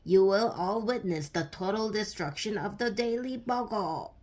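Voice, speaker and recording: A woman's voice: mid-range voice, moderate pace, darkish tone, natural voice. A woman voicing a male supervillain, a menacing master of illusion, with theatrical threats in a storybook reading.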